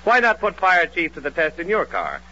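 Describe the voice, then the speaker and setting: Sharp, emphatic American radio pitchman. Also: wildly swooping pitch, moderate pace. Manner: emphatic, salesmanlike. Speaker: commercial announcer. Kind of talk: 1940s radio advertising read. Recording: dull old radio broadcast.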